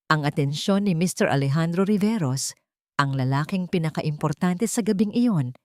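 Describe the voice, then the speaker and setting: Woman storyteller doing scripted romance drama, lowish voice, dry sound no room. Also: darkish tone, dry recording. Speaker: storyteller, narrator.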